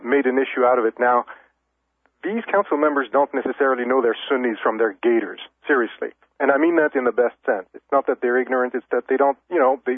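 Guy calling in to a radio show, kinda husky, phone-line sound, lots of restarts. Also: medium pitch, no echo. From Lebanon. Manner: measured, explanatory, many restarts. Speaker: journalist guest.

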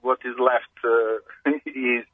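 A man's voice: medium pitch, steady tone, takes it slowly. A male reporter from Serbia with a Serbian accent, whose first language is Serbian. He speaks English hesitantly, searching for his words as a guest on the show. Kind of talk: phone interview. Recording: tinny phone line.

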